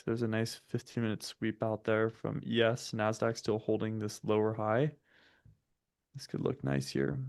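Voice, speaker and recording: A man's voice: lowish voice, creaky, a little mellow, no room sound, studio quality. A man raised in the States, French his first tongue, hosting a live trading stream and calling out price levels and setups methodically while chatting with viewers. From United States. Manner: chats with viewers between chart reads. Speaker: day-trading stream host. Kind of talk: live market commentary.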